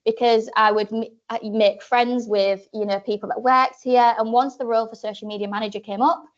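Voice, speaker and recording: A woman's voice: on the high side, steady tone, fairly quick, muffled recording. A woman in her twenties with a Yorkshire accent gives an informal, chatty presentation, rambling a little with fillers and restarts. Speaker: presenter giving a talk to apprentices.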